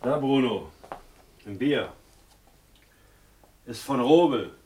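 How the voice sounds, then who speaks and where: A man's voice: mid-range voice, lively intonation, slow, a little mellow, slightly rough. An actor playing a grumbling, offhand man serving in a village pub in a German radio play, colloquial and muttering. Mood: serving, brisk.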